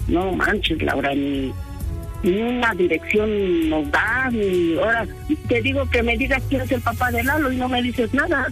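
A woman's voice: a little high, measured pace, a bit bright, faint music behind. A woman with a Mexican accent questioning someone in a scolding, insistent way, repeating her questions. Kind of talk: confrontational phone questioning.

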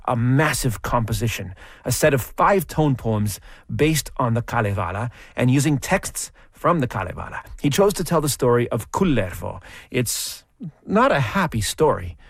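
A man introducing a classical concert on the radio, telling the story behind the music in a measured, explanatory way. His voice sits at an ordinary middle pitch. The studio sound is dry and close, with no room around it. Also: dry recording. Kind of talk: scripted radio presentation.

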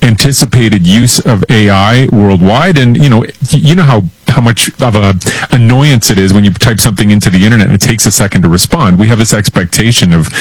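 A man explaining things conversationally as an expert radio guest, in a measured, careful way. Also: lowish voice, husky, no room sound, rough recording. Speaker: expert guest explaining science and tech news.

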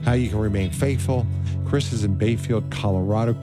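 A man's voice: lowish voice, a little fast, loud music behind the voice. A man hosting a radio show, upbeat and welcoming. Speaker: radio host.

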